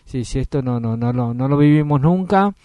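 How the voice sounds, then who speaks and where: A man from Tucumán with an Argentine accent, co-hosting a talk show and speaking casually about politics. He talks haltingly, repeating words and using many fillers. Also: medium pitch, expressive, no echo, dull audio. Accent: Argentine Spanish. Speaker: co-host, local politician.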